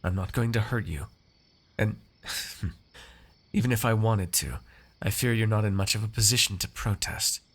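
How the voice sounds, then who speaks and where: A man's voice: on the low side, normal speed, pauses often, breathy edge. A man playing a haughty, teasing, possessive noble master in an ASMR roleplay, with formal, drawling, condescending theatrical diction. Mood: coolly mocking.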